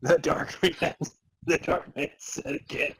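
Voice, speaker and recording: A man's voice: a little high, huge pitch swings, takes it slowly, vocal fry, a bit bright, breathy edge, dry recording. A man narrating a comic story in a theatrical way, prone to breaking into laughter. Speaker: narrator and podcast host. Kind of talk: dramatic reading of comic fan fiction.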